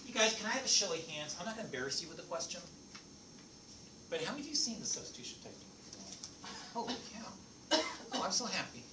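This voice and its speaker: Lively French-native male math teacher, echoey. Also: sits high, quick pace, long pauses, recorded from a distance. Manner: lively, playful teacher asking the class questions. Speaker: math instructor. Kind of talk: classroom lecture. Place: classroom. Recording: echoey classroom recorded from afar, noisy.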